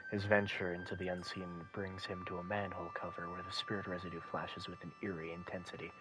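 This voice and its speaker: A young man with a deep voice, a streamer reading game text aloud in hushed narration. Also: level tone, fast, low-quality recording.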